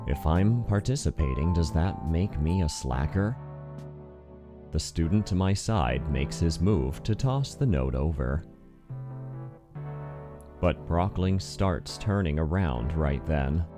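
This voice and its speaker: A man reading scripted first-person narration for a visual novel in an introspective, wry manner. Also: very deep voice. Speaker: narrator and voice actor.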